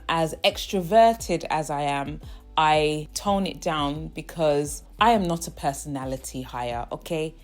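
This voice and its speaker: Low-voiced chatty woman vlogger. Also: a little mellow. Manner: chatty, often checks in with the viewer. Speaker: vlogger host. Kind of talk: casual personal vlog monologue.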